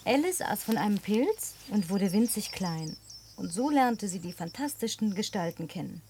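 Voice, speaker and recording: A woman's voice: mid-range voice, very animated, normal speed, dark tone. A lonely city woman who teases and coaxes with ease. Her voice sits in a middle range for a woman. Faint outdoor hiss and crickets run under the dub. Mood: playful. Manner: playful, coaxing, teasing. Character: lonely, wealthy city woman, playful and coaxing. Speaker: Linda, survivor in a German film dub. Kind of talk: German film dub.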